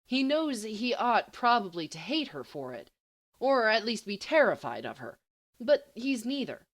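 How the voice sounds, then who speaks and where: A woman reading a story aloud as an expressive audiobook narrator. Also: a little high, a little slow. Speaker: volunteer audiobook reader.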